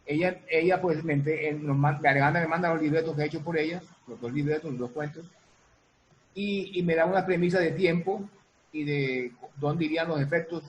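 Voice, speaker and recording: A man's voice: fairly high pitch, slight background noise, poor audio. A man explaining his work casually as an interview guest, repeating and rephrasing as he goes. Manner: halting, repeats and reformulates. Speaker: guest, music producer and sound designer.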